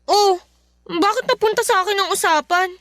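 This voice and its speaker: An actress playing an earnest, respectful young adult daughter in a radio drama. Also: female voice, very high voice, moderate pace, a bit bright, dry recording. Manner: polite, earnest, sometimes tearful. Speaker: daughter, student. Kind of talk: Filipino radio drama acting.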